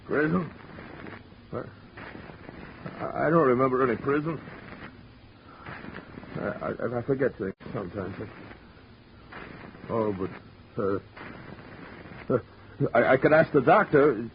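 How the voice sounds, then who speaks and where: A man's voice: medium pitch, animated, takes it slowly, pauses often, slight background noise. A man playing a confused, unstable ex-convict in a 1940s radio drama, stammering and paranoid, on a dull old broadcast recording. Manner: stammering, paranoid, swings from dazed to threatening.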